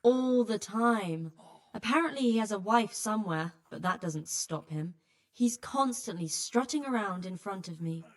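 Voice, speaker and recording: An actress playing a weary, blunt healer who tends refugees, with dry exasperation, in a dramatic video game. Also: female voice, mid-range voice, expressive, unhurried, natural voice. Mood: weary, bitter. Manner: exasperated, dry, plain-spoken.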